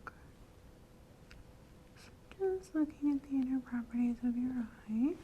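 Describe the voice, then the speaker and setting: A woman speaking softly as the examiner in an ASMR medical role-play, gently explaining each step in a reassuring way. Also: high-pitched, lively intonation, moderate pace, phone-quality sound, a little hiss or hum. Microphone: clip-on mic.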